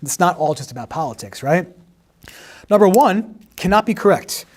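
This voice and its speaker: A man in his forties, a history teacher, reviewing exam questions on a livestream, explaining patiently and tagging sentences with "right?", his voice a bit hoarse after a teaching day. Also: sits high, animated, moderate pace. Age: 40s. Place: home in the suburbs.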